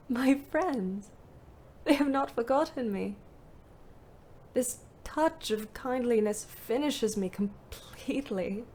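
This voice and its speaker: A woman's voice: fairly high pitch, a little hiss or hum. An actress playing a weary, bitter young ambulance driver, narrating her wartime diary expressively in an audio play. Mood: drowsy, moved. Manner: measured, literate, expressive. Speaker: narrator and lead character.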